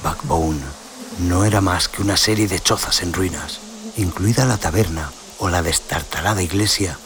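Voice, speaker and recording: A man narrating a scripted western horror tale in a brooding, theatrical, deliberate manner. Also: low-pitched, a crowd in the background. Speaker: audio story narrator. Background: cinematic sound design and music.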